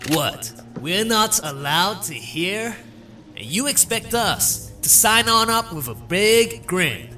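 A man's voice: high voice, sing-song, slow pace, sharp tone, faint music behind, full character voice. A man voicing a drunken, goofy animal in a cartoon fandub, with a loose, slurred, playful delivery. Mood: tipsy, curious. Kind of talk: dramatic comic fandub dialogue.

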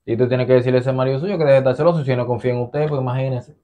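Fast-talking repairman. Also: mid-range voice, steady tone, narrow, tinny audio. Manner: fast, pleading, excuse-making. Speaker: repairman in a sketch. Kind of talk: scripted comedy sketch. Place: outdoor porch of a rural house.

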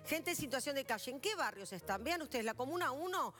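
Argentine lady news presenter, highish voice, studio, music behind her. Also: expressive, a little fast, fairly bright tone.

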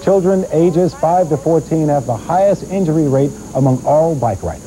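A man's voice: a little high, animated, moderate pace, warm tone, rough recording. A man with an American accent reading scripted local news as an anchor, on a hissy nineties VHS recording.

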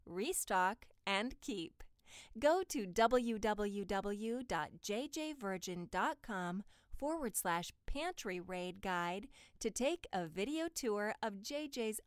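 A woman reads promotional copy as a voice-over artist. Her voice is smooth and resonant, and she takes her time with a polished, carefully enunciated delivery. The sound is close and dry, with no room echo. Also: mid-range voice. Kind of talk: scripted promotional voice-over for health and diet ads.

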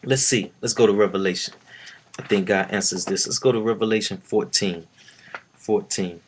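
A man in his thirties preaches at home into a laptop webcam, his voice sitting fairly low. He reads scripture with mounting force and circles back over his own phrases for emphasis. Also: fairly even intonation, normal speed, slight background noise, poor audio. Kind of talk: informal Bible teaching to a webcam. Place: small room at home, indoors.

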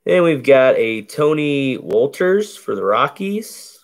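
A man with a deep, bassy voice calmly calls out each baseball card he opens at a table indoors, in a relaxed running commentary. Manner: slow, laid-back play-by-play of each card. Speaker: card break host. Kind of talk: casual card-opening commentary. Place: indoors at a table covered in cards.

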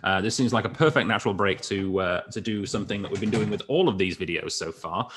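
A man's voice: fairly low pitch, quick pace, background noise. Jokey male whisky show host. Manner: jokey, chatty, laughs easily. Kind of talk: casual interview chat. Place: indoors, home room with framed pictures. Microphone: studio microphone on desk.

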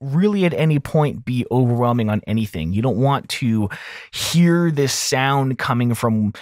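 A man's voice: medium pitch, animated, normal speed, darkish tone, dry recording, studio quality. A man explaining audio mixing in a casual tutorial style, informal and thinking aloud as he goes.

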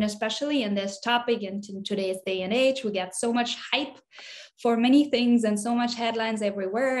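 A young woman from Austria joins a video call as a physicist and consultant. She explains her work earnestly, at a medium pitch.

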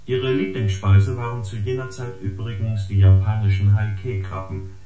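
An actor playing a smug surveillance agent in a radio drama, reporting a dossier with precise, formal, sardonic delivery. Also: male voice, on the low side, moderate pace, close to an everyday voice. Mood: needling. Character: smug, gossiping surveillance agent or unit, dryly needling. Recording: echoing space, loud guitar music.

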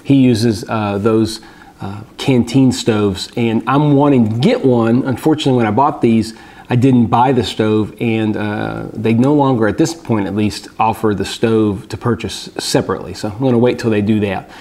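A man, giving a relaxed, explanatory gear review vlog from a table in a small room, with many fillers. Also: on the low side, moderate pace.

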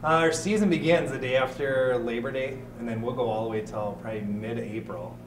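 A middle-aged man, a hockey head coach and general manager, speaking in an on-camera interview in an indoor ice rink, relaxed and matter-of-fact. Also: medium pitch, brisk, recorded from a distance. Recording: echoey rink from off-mic with loud background.

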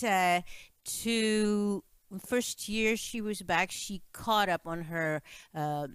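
A woman's voice: on the low side, clear, resonant tone, dry recording. A woman in her sixties with a Czech accent, telling family stories over a video call, full of fillers and restarts. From Czech Republic. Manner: chatty storyteller, many fillers and restarts. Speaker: guest musician. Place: home room with piano, indoors. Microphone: studio mic on stand, video call.

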